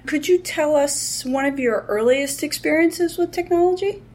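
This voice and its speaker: A woman hosting an interview podcast who reads prepared questions in a clear, even way. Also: sits high, moderate pace, a little hiss or hum. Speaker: podcast host.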